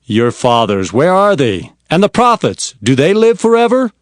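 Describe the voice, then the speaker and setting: A man's voice: on the high side, huge pitch swings, moderate pace, dry recording, studio quality. An actor playing an earnest prophet recounting his visions, in solemn and dramatic scripture narration. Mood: stern, challenging.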